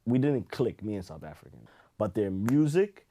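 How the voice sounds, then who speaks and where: A man with a very low voice and a warm, dark tone. He chats casually as a podcast guest, telling stories in an easygoing, rambling way full of "you know" and "like". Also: sing-song, normal speed, dull audio. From Zimbabwe. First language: Shona. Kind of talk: casual chat.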